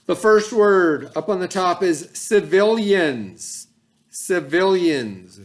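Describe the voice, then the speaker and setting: A man's voice: high-pitched, lively intonation. Patient male teacher explaining vocabulary. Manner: patient, explains slowly with everyday examples; checks in often with "okay" and "right". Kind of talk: recorded classroom lesson for students at home.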